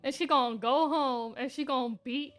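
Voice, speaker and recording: A woman giving casual, slangy live commentary as she streams a game, irreverent and quick to swear. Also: high-pitched, a bit bright. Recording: game music behind.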